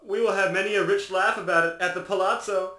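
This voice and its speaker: A man playing a drunken, desperate nobleman in a gothic audiobook, halting and theatrical, in an echoing space. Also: sits high, steady tone, bright, forceful tone. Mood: feigned mirth, pleading. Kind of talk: dramatic gothic audiobook acting. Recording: roomy, echoing sound.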